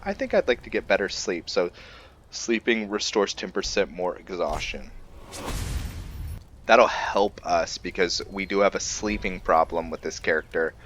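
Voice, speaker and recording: A male gamer with a deep voice talks through his roleplay playthrough. He takes his time, musing hesitantly to himself, with faint game ambience behind him. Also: a bit bright, a little hiss or hum. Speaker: gaming video host and player. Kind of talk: casual let's-play roleplay commentary.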